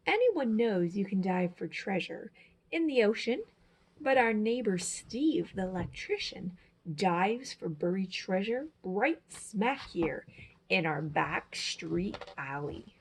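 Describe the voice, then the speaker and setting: A woman's voice: mid-range voice, animated, slow, husky, rough recording. A woman reading a children's picture book aloud as a storyteller, with dramatic, emphatic phrasing. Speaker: storyteller, teacher.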